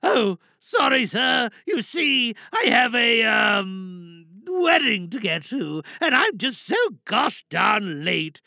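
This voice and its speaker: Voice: mid-range voice, very animated, takes it slowly, vocal fry, few pauses, pushed and bright, no room sound, pitched above the performer's own voice, full character voice. A performer voicing a frantic, self-righteous driver making indignant, wheedling excuses in a comic audio drama monologue. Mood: flustered, wheedling. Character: frantic, self-righteous reckless driver lying to a police officer.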